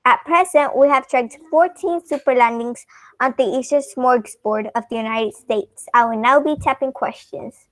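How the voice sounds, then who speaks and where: A woman playing a flustered female general who haltingly garbles words in a comic radio play. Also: sits high, no echo, lightly acted. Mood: official, flustered. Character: flustered, bumbling female army general at press briefing.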